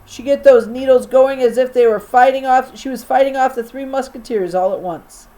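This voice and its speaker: A woman reads a children's story aloud as a teacher would for her class. Her voice sits a little high, and she narrates expressively. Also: moderate pace, warm tone, low-quality recording. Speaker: teacher reading to fourth grade class.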